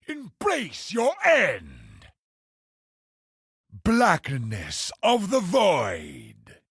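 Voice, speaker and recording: Husky, slow, doom-laden cosmic sorcerer. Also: male voice, sits high, sharp tone, dry recording, studio quality, heavily acted. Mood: furious, condemning, then dark, incantatory. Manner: solemn, portentous, dramatic slow delivery. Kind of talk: theatrical video game villain voice lines.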